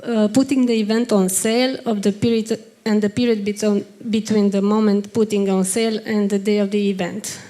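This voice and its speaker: A woman with a Romanian accent giving a halting workshop presentation in English while unwell, pausing often to search for words. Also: mid-range voice, little pitch movement, dark tone. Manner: hesitant, many ums and uhs, searches for words.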